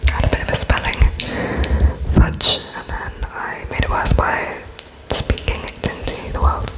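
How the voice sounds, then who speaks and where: A woman whispering an ASMR roleplay as an interviewer, in a breathy, halting and politely apologetic way, as if pausing to take notes. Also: low-quality recording. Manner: slow, halting, spaced-out phrases as if writing notes, polite and apologetic.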